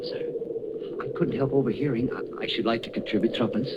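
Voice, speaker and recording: Man playing a meek humble clerk, mid-pitched, hesitant, servile, kindly, vintage radio drama, heavily noisy recording. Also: fairly even intonation, fairly quick, lo-fi sound, close to an everyday voice. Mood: timid. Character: meek, deferential, kindly poor male clerk. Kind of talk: 1930s radio drama acting.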